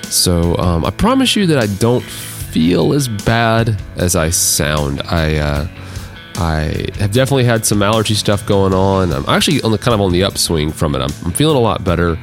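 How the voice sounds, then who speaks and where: A man with a deep baritone, hoarse from allergies, hosting a casual solo podcast, rambling with many restarts and fillers. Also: big pitch swings, normal speed, soft background music. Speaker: podcast host.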